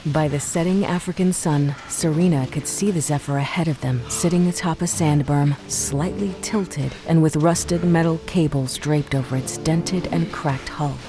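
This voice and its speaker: Low-voiced woman narrating an audio drama. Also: normal speed, hardly any pauses, over music.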